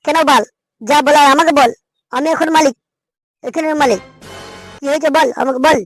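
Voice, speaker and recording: A woman's voice: sits high, moderate pace, soft background music, natural voice, rough recording. A woman playing a rude, resentful young male worker in a comic cartoon, complaining insolently. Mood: defiant.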